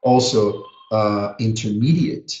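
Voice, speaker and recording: A man's voice: on the low side, slow, a little mellow, roomy sound, highs cut off. A man from Brazil, a native Portuguese speaker, teaching a live webinar lesson and drilling words with earnest emphasis. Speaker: teacher educator. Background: a small child heard now and then.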